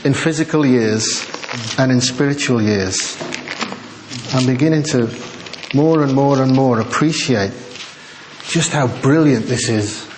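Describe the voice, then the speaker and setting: An older man preaching a chatty, self-deprecating sermon in a quiet voice to a church congregation on a small microphone. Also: medium pitch, a little slow, noisy background. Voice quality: quiet, soft-spoken voice. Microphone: small sensitive microphone.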